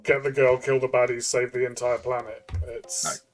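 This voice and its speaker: A man co-hosting a casual game review chat, thinking aloud in a halting way and repeating phrases. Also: medium pitch, little pitch movement, soft background music.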